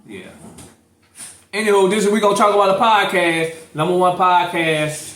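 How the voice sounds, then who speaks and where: A man from St. Louis hosting a casual podcast, talking in a relaxed, loose and conversational way. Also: high voice, moderate pace. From St. Louis, Missouri. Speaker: podcast host. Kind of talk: casual chat. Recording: echoey room, set back from the mic, faint noise.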